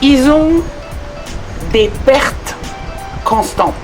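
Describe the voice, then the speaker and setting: A woman's voice: high voice, animated, drawn-out words, over music. A middle-aged woman being interviewed, talking conversationally with many hesitations and restarts. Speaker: interview guest.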